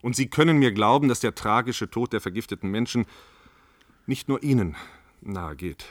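An actor playing a self-assured government official in a radio play, placating in formal, measured bureaucratic language. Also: male voice, medium pitch, lively intonation, no room sound, lightly acted. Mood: firm, placating. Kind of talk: radio play studio discussion.

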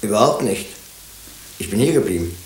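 Suspected physician, male actor: self-possessed, clipped and dry, animated melody, echoing drama studio. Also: on the low side, a little fast, a little hiss or hum, natural voice. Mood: dry, composed. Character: self-possessed, dry male hospital doctor under suspicion. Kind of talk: German radio drama acting.

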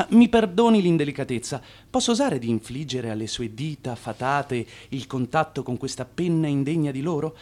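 A male actor plays a young Italian immigrant on the make in a radio play. His voice sits fairly high and swings widely as he pours out eager, flowery flattery with grand airs. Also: lightly acted. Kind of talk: stage-like radio drama acting.

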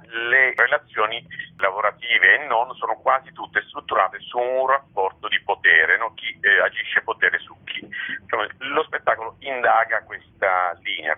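Male interviewee, lowish pitch, reflective and explanatory, unscripted delivery, muffled phone-call sound. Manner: reflective, explanatory, often tagging phrases with "no?". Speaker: playwright and theatre director being interviewed. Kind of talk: spontaneous interview answers. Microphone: telephone. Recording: muffled narrow-band telephone line.

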